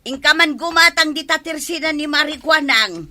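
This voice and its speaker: A woman's voice: high-pitched, moderate pace, pushed and bright. A woman playing a bossy, scolding village wife with an Ilocano accent in a radio comedy drama, exclaiming and stretching her words. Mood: bossy, impatient.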